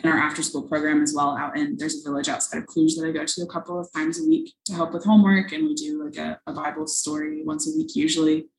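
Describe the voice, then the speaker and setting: A woman's voice: low-pitched, fairly even intonation, fast, hardly any pauses, echoey room, slight background noise, poor audio. A young woman, a missionary, answering interview questions earnestly and thoughtfully, with frequent ums. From United States.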